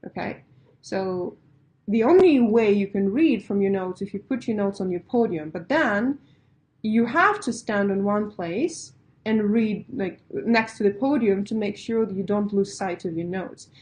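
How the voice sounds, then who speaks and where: Woman, Russian native, pitch coach; mellow tone, emphatic and direct. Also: lively intonation, a little slow. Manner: emphatic, direct, laughs lightly, stacks repeated phrases. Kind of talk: coaching talk to startups.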